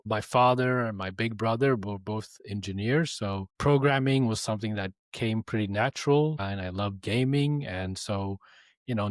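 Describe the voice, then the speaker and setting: A man answering interview questions as a company co-founder, relaxed and measured. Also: on the low side, no echo, clean studio sound. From Norway.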